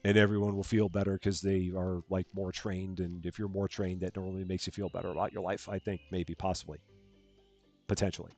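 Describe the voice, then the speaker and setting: A man with a calm, soothing voice, casually talking through a game on a live stream and thinking aloud as he plays. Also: sits low, fairly quick, soft background music. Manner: soft-spoken, rambling, thinking aloud.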